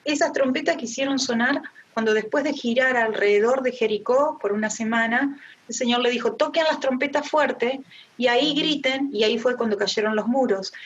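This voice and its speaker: A woman's voice: fairly high pitch, normal speed. A middle-aged woman with an Argentine accent gives a conversational devotional Bible teaching to a women's group, addressing her listeners directly. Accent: Argentine Spanish. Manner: engaged, addresses listeners directly with rhetorical questions. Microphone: online group call. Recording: dull, lo-fi online meeting audio.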